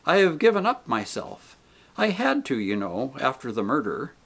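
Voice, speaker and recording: A man voicing a radiant male spirit who answers calmly and patiently. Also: mid-range voice, moderate pace, vocal fry, heavily acted. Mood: serene. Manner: calm, patient, gently reassuring. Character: radiant joyful male spirit, calm and gentle.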